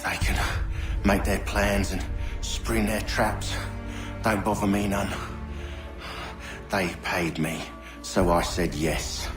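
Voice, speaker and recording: An actor playing a cynical, greedy mercenary scoundrel in a video game, talking in rough colloquial grammar with dismissive scorn. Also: male voice, low voice, steady tone, slow pace, pauses often, roomy sound. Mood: bitter, dismissive. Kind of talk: video game cutscene acting. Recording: music behind the voice.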